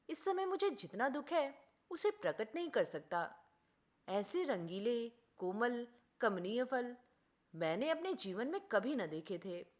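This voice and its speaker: A woman voicing an indignant gentleman doctor from a storybook, in a dramatic, formal, emphatic manner. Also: a little high. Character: indignant, melodramatic gentleman doctor, master of an orchard.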